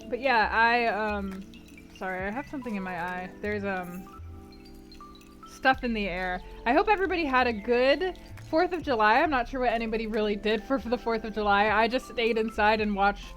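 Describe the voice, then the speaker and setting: A woman's voice: fairly high pitch, normal speed, fairly bright tone, faint music behind. A woman hosting a live-streamed book club, chatting casually with her viewers in a rambling way and laughing often. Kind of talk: casual chat with live chat before a stream reading.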